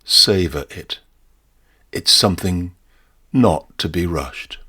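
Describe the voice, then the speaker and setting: Man performing a slow, deep, ominous barman. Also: sharp tone, slightly rough, heavily acted. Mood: cautionary. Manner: slow, formal, deliberate, portentous. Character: ominous, courteous male barman with devilish solemnity. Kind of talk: short story performance.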